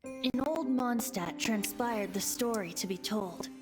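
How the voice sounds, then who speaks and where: A voice actor playing a carefree young bard who is a wind spirit, light and playful, sometimes telling tales in rhymed verse. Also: male voice, very high voice, measured pace. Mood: storytelling. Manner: light and playful, breaks into sing-song rhyming verse. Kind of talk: game dialogue and rhymed storytelling. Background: soft game music.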